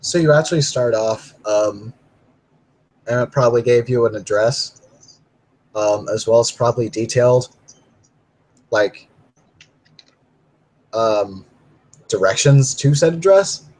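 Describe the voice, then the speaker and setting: A man's voice: mid-range voice, slow, long pauses, a little mellow, low-quality recording. A man narrating as storyteller in a tabletop roleplay, casual with many fillers.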